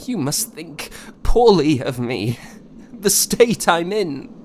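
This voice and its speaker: A man playing an unwell, proud young composer in a period audio drama, shaken and speaking in formal, halting, old-fashioned phrases. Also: a little high, big pitch swings, a little mellow, slight background noise. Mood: shaken, ashamed. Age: young adult. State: weak, shaken, coughing. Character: unwell, wounded, proud young male composer, courteous and agitated.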